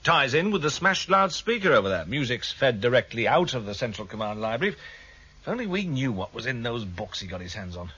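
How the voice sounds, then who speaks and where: An actor playing a sceptical, world-weary senior police detective in a British radio drama, musing with wry authority. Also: male voice, medium pitch, big pitch swings, a little fast, bright, forceful tone, slightly rough, full character voice. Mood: frustrated, suspicious. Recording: dull, lo-fi 1978 radio broadcast.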